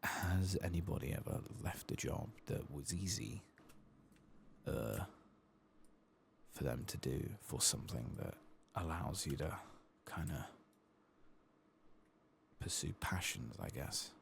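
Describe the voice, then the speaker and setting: A soft-spoken man doing an ASMR game playthrough, hushed and sometimes whispering, musing in a reflective, hesitant way as he plays, close to the microphone. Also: a bass voice, lively intonation, slow pace, gravelly, pauses often, slight background noise. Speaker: ASMR gaming video host.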